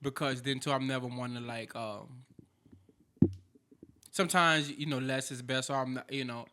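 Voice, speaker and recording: A man's voice: medium pitch, fairly even intonation, moderate pace, noisy background. A man hosting a podcast in casual chat, with a light voice and a loose, chatty delivery full of fillers and restarts. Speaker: podcast host.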